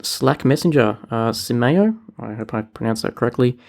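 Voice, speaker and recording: A male podcast host with a gravelly, fry-tinged voice at an ordinary pitch. He talks about software updates in a relaxed, chatty way, full of small fillers and restarts. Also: moderate pace. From New Zealand. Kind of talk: casual tech podcast chat.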